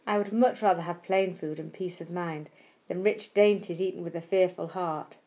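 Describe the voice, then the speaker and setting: A woman's voice: fairly low pitch, fairly even intonation, normal speed, mellow, muffled recording, close to an everyday voice. A woman voicing a humble, friendly male country mouse in a storybook, earnest and plain-spoken. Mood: resolute.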